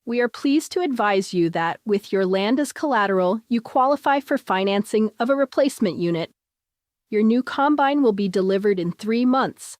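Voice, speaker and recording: A woman's voice: mid-range voice, animated, normal speed, no echo, lightly acted. Woman doing a cold automated insurance bot for an audiobook, formal and impersonal, dry close sound. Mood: coldly formal. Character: cold, officious automated machine system, relentlessly bureaucratic. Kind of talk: audiobook character voice.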